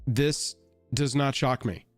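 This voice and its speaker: A man's voice: medium pitch, steady tone, takes it slowly, no echo, faint music behind. A young man, co-hosting a card game live stream in a jokey, playful way and reading a sponsor spot. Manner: jokey, playful, breaks into silly voices. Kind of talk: casual live-stream chat and sponsor read.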